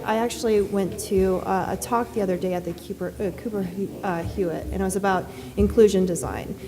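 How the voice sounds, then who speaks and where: A soft-spoken woman on a panel, answering at length with many fillers and restarts. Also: fairly low pitch. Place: conference hall. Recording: distant reverberant hall with faint ambience.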